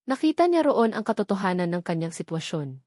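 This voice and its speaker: A woman narrating a scripted romance story in a measured, dramatic storytelling manner. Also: mid-range voice, big pitch swings, a little mellow, no room sound, studio quality.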